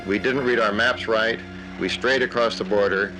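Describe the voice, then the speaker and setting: A man recounting what soldiers told him, speaking slowly and deliberately in old archive footage. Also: fairly low pitch, fairly even intonation, music in the background, low-quality recording. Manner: slow, deliberate. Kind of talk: archival testimony.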